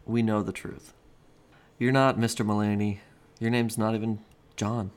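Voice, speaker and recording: A man's voice: on the low side, little pitch movement, normal speed, clean tone. A man co-hosting a comedy podcast, chatting casually with dry, teasing humour. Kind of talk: casual chat.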